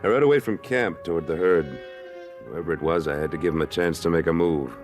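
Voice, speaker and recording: A man's voice: low voice, quick pace, over music, close to an everyday voice. An American man acting a tough, terse trail boss in an old-time radio western. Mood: narrating, steady. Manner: terse, blunt, authoritative. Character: tough, commanding cowboy trail boss of a cattle drive. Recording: noisy vintage radio recording.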